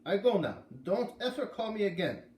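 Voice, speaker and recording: A man's voice: high-pitched, sing-song, moderate pace, a little mellow, pitched above the performer's own voice. Man doing a blustering, furious German dog trainer. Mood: indignant, scheming. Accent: put-on German. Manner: emphatic, blustering. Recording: echoey room, faint background noise.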